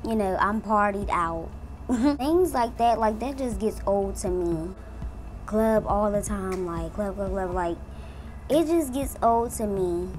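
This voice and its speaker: A woman's voice: medium pitch, expressive, takes it slowly, darkish tone, slight background noise. A woman in her twenties, a beauty vlogger, telling her personal story in a casual, earnest way and talking straight to her viewers. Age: 20s. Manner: chatty, earnest, addresses viewers as y'all. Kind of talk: casual testimony while doing makeup.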